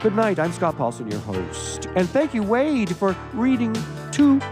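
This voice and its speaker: A man hosting a radio theatre show, reading a humorous story and chatting playfully with theatrical pauses. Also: high-pitched, huge pitch swings, over music.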